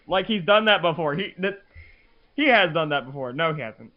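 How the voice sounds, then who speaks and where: A man's voice: fairly high pitch, lively intonation, moderate pace, lo-fi sound. A man bantering on a casual podcast in a joking, theatrically exclamatory way. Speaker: podcast co-host, fandub production crew member.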